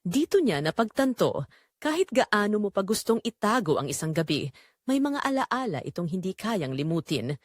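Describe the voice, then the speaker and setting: A woman's voice: mid-range voice, big pitch swings, moderate pace, no echo, studio quality. A woman with a Filipino accent narrating a scripted drama story in a dramatic style.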